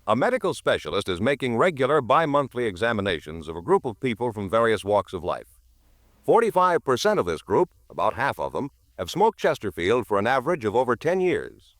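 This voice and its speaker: A man's voice: mid-range voice, sing-song, fairly quick, no room sound, clean studio sound. A man announcing in the style of a 1950s radio cigarette commercial, with an emphatic, polished sales delivery.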